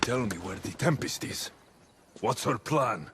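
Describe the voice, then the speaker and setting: A man's voice: mid-range voice, expressive, unhurried, heavy background noise, close to an everyday voice. A man playing a terse, determined Greek mercenary hero in a video game cutscene. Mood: demanding.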